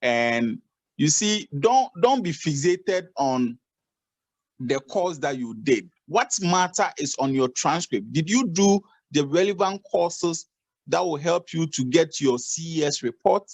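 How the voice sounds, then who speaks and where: Man adviser, unhurried and repetitive, dry video-call audio. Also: a little high, animated, dry recording. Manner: repetitive, restarts phrases, advisory. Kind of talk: informal video call explanation.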